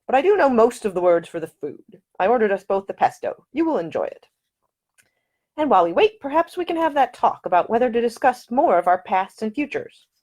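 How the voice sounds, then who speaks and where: A woman voicing a charming young gentleman who talks in a playful, gallant, gently teasing way. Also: on the high side, sing-song, a little fast, pitched above the performer's own voice.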